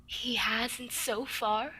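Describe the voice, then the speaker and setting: A woman's voice: fairly high pitch, fairly even intonation, drawn-out words, background noise. A woman playing a weak, dying young woman in a vintage-style radio drama, plaintive and weary. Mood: weak, despairing. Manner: plaintive, weary, breathy at times.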